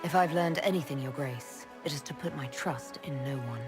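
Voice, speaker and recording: A guarded fantasy game heroine, acted by a woman, wry and direct. Her voice sits fairly low, and music plays clearly behind her. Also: a little fast, close to an everyday voice. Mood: cool, wry. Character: guarded, dry-witted heroic female inquisitor. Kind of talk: video game dialogue acting.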